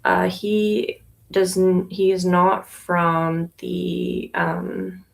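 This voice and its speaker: Slow, unhurried woman chatting while knitting. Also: lowish voice, vocal fry. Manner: relaxed, unhurried, with frequent ums and trailing pauses. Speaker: yarn shop owner. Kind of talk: casual livestream chat while knitting. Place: inside her yarn shop.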